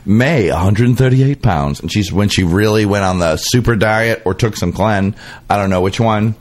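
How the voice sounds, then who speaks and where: A man's voice: lowish voice, moderate pace. A man hosting a casual comic gossip podcast in a sassy, catty, campy way. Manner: sassy, catty, campy, acts out imagined quotes. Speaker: podcast host. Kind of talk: casual celebrity gossip chat with comic banter.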